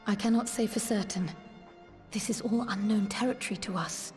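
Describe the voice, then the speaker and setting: Game role: grave female scholar actress, mid-pitched voice, measured archaic phrasing, loyal and resolute, music underneath. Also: fairly even intonation, a little mellow, close to an everyday voice. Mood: solemn, resolute. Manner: formal, measured, archaic diction. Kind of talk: video game cutscene acting.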